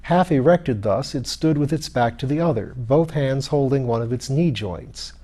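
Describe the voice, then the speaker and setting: A man's voice: medium pitch, animated, moderate pace, warm tone. A man reading literary fiction aloud as an audiobook narrator. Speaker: audiobook reader.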